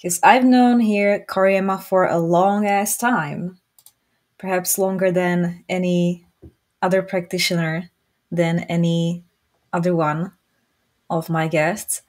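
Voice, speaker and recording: A woman with a Czech accent hosts a casual chat over a video call, her voice sitting somewhat low. She talks slowly and jokes easily, often restarting her phrases. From Czech Republic. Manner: relaxed, joking, with quick restarts. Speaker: podcast host.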